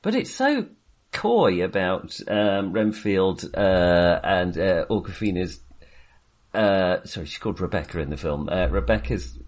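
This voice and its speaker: A low-voiced man talks casually about films as a podcast co-host. His pitch swings widely and expressively, and he feels his way through opinions, circling back to qualify them. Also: sing-song, measured pace. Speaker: film review podcast co-host. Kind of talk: casual film discussion.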